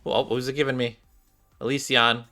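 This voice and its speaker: Bright-voiced man chatting over game music. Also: mid-range voice, little pitch movement, normal speed. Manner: chatty, addresses viewers as guys. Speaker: gaming video host. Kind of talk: casual gameplay commentary.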